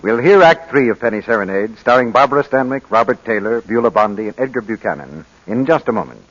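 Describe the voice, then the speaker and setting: Husky, lively 1940s male radio announcer. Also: mid-range voice, quick pace, faint music behind. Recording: muffled old radio broadcast recording.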